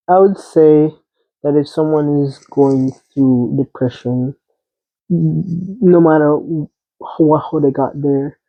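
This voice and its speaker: Tinny, phone-like and dry audio carries a man with a somewhat high voice. He talks casually and personally, in a gentle, encouraging manner. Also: no echo. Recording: tinny phone-like band-limited sound.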